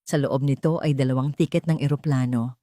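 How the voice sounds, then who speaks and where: A woman's voice: extremely low pitch, steady tone, a little fast, warm tone, dry recording, clean studio sound. A woman with a Filipino accent narrating a dramatic story from a script.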